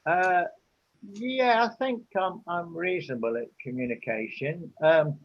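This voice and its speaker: An older man from England answering interview questions in an unhurried, hesitant way, with many fillers. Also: on the high side, highs cut off.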